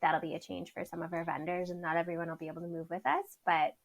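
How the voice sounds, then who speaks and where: A woman's voice: flat delivery, fast talker. A woman, a chatty podcast guest who laughs often. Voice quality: says her voice is high. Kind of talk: casual interview chat.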